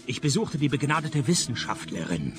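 An actor playing a sardonic, weary private detective, narrating a comic hard-boiled radio play in a deadpan manner. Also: male voice, mid-range voice, moderate pace, over music. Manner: deadpan, dry wit. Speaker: narrator and main character.

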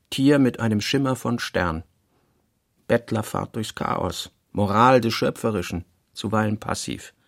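Brooding novelist, low voice, creaky, clipped deliberate phrasing, radio play reading. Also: male voice, dry recording, clean studio sound. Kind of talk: literary radio reading of narration and working notes.

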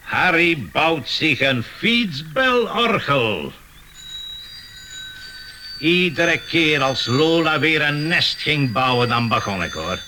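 Proud, touchy hobby inventor, unhurried and emphatic, comic radio drama, noticeably noisy vintage recording. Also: male voice, on the high side, lively intonation, sharp tone, full character voice. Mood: proud, eager. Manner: cooing over pets, self-important, emphatic explaining. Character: obsessive male canary breeder, proud amateur inventor, easily exasperated. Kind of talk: comic radio play acting. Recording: noisy early-1980s radio play recording.

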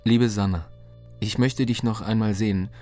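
An actor playing a tender young sweetheart, reading his letter simply and gently in a radio play. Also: fairly low pitch, fairly even intonation, moderate pace, lightly acted. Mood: tender, longing. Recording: loud music over the voice.

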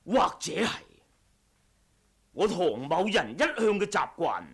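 Male actor as cold wuxia killer, radio drama, sardonic, rough husky voice, theatrical sweeping intonation. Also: high voice, sing-song, takes it slowly, a bit bright, heavily acted. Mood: sardonic, haughty. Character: ruthless male wuxia assassin, cold and haughty. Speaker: audiobook voice actor. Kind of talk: Cantonese martial-arts radio drama acting.

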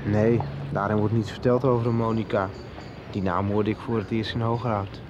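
A man's voice: lowish voice, flat delivery, moderate pace, natural voice. An actor playing a troubled young man searching for answers in a radio drama. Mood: frustrated, puzzled. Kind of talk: 1990s radio drama acting. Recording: dull sound with clearly noisy ambience.

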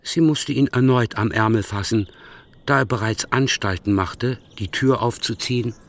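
A man narrating a literary radio play in a husky voice, measured and musing. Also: medium pitch.

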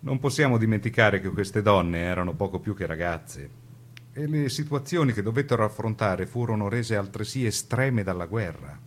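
A man narrates a scripted historical piece for radio. His voice is fairly low, with deliberate phrasing and dramatic pauses, over a faint hum.

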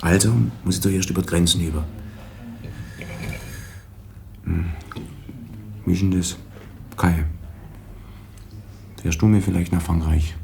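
Low Swabian-accented man, wheedling fugitive. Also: flat delivery, normal speed, gravelly, pauses often, mellow, a little hiss or hum. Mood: hopeful, wheedling. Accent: southern German (Swabian). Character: cajoling escaped convict on the run, needy younger brother. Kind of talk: 1980s German radio drama dialogue.

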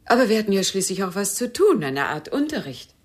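A woman's voice: mid-range voice, sing-song, brisk, darkish tone. A woman acting a polite, wistful widow and former teacher in a German radio play, speaking courteously and hesitantly.

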